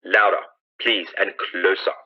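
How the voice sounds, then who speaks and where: A man's voice: sits low, flat delivery, measured pace. A man playing an urgent, awed on-scene news commentator in a 1930s-style radio drama, searching for words as they describe things. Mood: brisk, impatient. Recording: dull lo-fi old radio sound.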